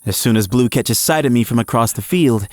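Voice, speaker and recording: A man's voice: mid-range voice, fairly quick, no echo, lightly acted. A man acting as a young college quarterback who narrates an audio drama in an earnest, emotive first person. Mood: frazzled, rueful. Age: young adult. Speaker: narrator and lead character.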